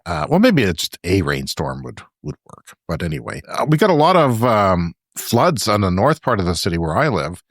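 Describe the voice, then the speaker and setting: A man co-hosting a podcast, chatting casually and laughing between his remarks. His voice sits fairly low but swings up and down a great deal. The sound is close and dry, with no room in it. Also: sing-song, moderate pace, few pauses, no room sound. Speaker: podcast co-host. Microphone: remote podcast call.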